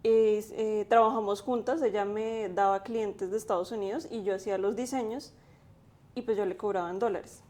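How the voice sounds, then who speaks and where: A woman's voice: mid-range voice, steady tone, normal speed, a little mellow. A woman, a graphic designer guest telling her story thoughtfully with many fillers. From Colombia. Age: 20s. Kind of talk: interview.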